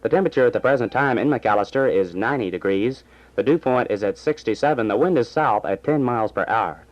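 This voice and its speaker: A dull, lo-fi vintage AM recording carries a male newsreader with a mid-pitched voice. He delivers scripted bulletins in a formal, measured 1960s announcer style. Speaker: radio newsreader and station announcer. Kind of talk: 1960s scripted radio news reading. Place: radio station studio.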